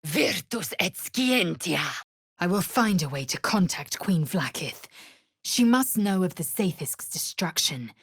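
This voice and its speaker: A woman voicing a fierce, haughty young alien warrior in a video game, with a husky, breathy rasp and a clipped, imperious delivery. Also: lowish voice, big pitch swings, a little slow, no room sound, exaggerated voice. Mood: commanding, incanting. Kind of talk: video game character voice lines.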